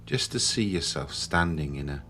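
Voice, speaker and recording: Low-voiced man guiding a meditation over music. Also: lively intonation, fairly bright tone. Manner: slow, soothing, with long pauses. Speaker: guided meditation host. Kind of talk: guided meditation narration. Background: background music.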